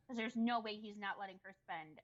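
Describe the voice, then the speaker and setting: A woman informally reading chat messages out loud and tossing in her own asides. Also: medium pitch, fairly quick, dull audio. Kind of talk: informal read-aloud of a chat log with asides.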